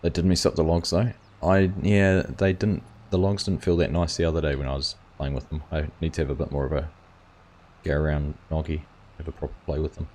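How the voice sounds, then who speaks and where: A man's voice: a bass voice, little pitch movement, brisk, a little mellow. A man hosting a gaming livestream, chatting casually and reading viewers' comments aloud. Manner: rambling, reads chat aloud and answers viewers.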